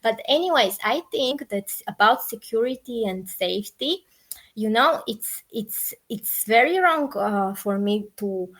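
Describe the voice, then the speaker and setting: A woman's voice: a little high, expressive, a little slow, a bit bright, poor audio. A woman from Bulgaria with a Bulgarian accent, answering interview questions informally, hedging and thinking aloud as she goes. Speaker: podcast guest, peace activist.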